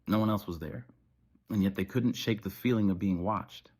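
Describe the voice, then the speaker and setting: Male, low voice, formal emergency-bulletin narrator for analog horror, measured and ominous. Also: a little mellow. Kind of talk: scripted horror narration as an official emergency announcement.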